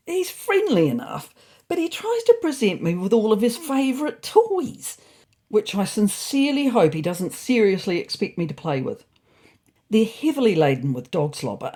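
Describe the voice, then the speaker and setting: A woman's voice: lowish voice, mellow, breathy edge. A woman voicing a fussy, neurotic male ginger cat who narrates a comic storybook diary in a prim, indignant, self-important way.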